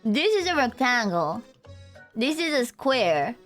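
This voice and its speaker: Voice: mid-range voice, very animated, takes it slowly, fairly bright tone, faint music behind. A young adult VTuber streamer with a Romanian accent, chatting with viewers in a goofy, rambling, playful way. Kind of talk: casual live-stream chat.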